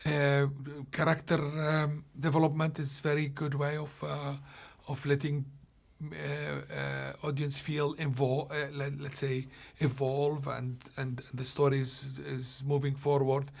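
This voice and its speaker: A man from Palestine, a film director, answering interview questions in a halting way, often restarting as he searches for words. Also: mid-range voice, monotone, vocal fry.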